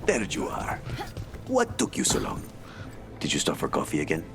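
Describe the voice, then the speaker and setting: An actor playing a prideful, smooth-talking assassin in a video game, theatrical and needling. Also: male voice, extremely low pitch, wildly swooping pitch, normal speed, music in the background, heavily acted. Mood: teasing, insistent.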